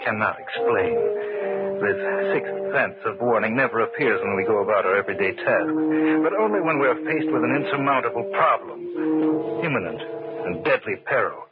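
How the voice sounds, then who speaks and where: An actor playing a serious, uneasy man recounting a supernatural tale in measured, dramatic 1940s radio style. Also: fairly low pitch, expressive, natural voice. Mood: grave. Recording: muffled old radio recording, loud music.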